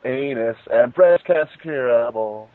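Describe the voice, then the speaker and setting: A man's voice: medium pitch, a little slow. A man riffing casually on a movie with friends in a joking, mock-dramatic way. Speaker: movie commentary host. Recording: roomy, tinny phone-like internet call.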